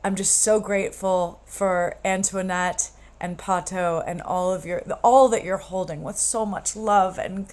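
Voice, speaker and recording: A woman in casual talk, effusive and gushing, who trails off softly at the ends of her thoughts. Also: medium pitch, steady tone, a little slow, few pauses. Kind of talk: heartfelt casual chat.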